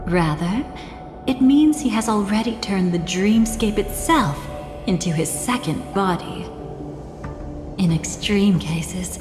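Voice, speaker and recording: A young woman voicing an enigmatic, teasing game character who is composed and calmly fond of danger. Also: medium pitch, lightly acted. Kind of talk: video game cutscene voice acting. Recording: long echoing reverb, game music behind.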